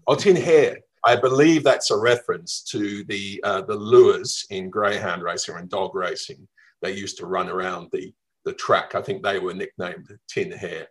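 A middle-aged man from Melbourne with an Australian accent, telling travel stories in a radio interview, reflective and lively, with ums and restarts. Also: sits low, moderate pace, rough recording. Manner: reflective, lively storytelling with fillers. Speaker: travel writer guest.

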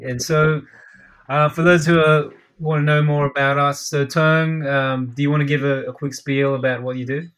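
A man's voice: fairly high pitch, level tone, moderate pace, a bit bright. Self-deprecating Australian man on a podcast. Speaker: podcast co-host. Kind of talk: casual podcast chat over a call. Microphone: remote call.

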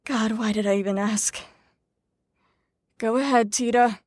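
A woman's voice: on the high side, steady tone, unhurried, darkish tone, no room sound. An actress in an audio drama playing an exasperated, sardonic young woman who leads a group lesson in a weary, lecturing manner. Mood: weary sarcasm.

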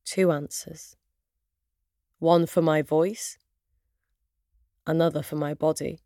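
A woman with a British accent and Arabic as her first language, reciting spoken word poetry in measured, deliberate phrases with pauses. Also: low voice, steady tone, mellow, dry recording, clean studio sound.

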